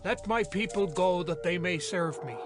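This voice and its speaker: Man acting a high-voiced, stern prophet. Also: moderate pace, music in the background, lightly acted. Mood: stern warning. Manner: solemn, declamatory. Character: stern prophet delivering a divine warning. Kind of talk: dramatized scripture audio acting.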